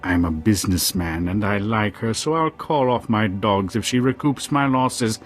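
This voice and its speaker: A man acting a smooth, menacing arms dealer in a video game, oily and businesslike. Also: lowish voice, expressive, moderate pace, soft background music, lightly acted. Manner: oily, businesslike, veiled threats.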